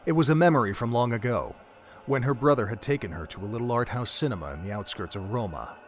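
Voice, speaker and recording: Man narrating a story, measured. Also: medium pitch, lively intonation.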